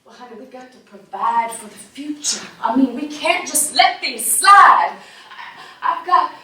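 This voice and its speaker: A woman's voice: high voice, lively intonation, a little slow, pushed and bright, full character voice. A woman playing a coaxing, hard-nosed woman running a cheap house in a stage play, soothing by turns and stern, recorded from far off in an echoey studio. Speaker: stage actor. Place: small theater studio.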